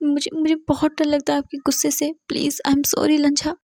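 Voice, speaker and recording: A frightened young man, crying and stammering, tripping over his own words, as a woman puts him on. His voice sits high and strained, broken by hushed, near-whispered pleas. The sound is close and dry, with no room around it. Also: fairly even intonation, normal speed, slightly rough, no room sound. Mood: pleading.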